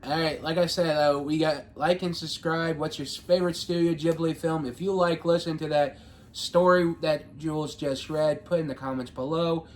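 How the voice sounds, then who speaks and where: A man's voice: fairly high pitch, steady tone, normal speed, slight background noise. Casual male podcast co-host. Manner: loose, filler-heavy talk.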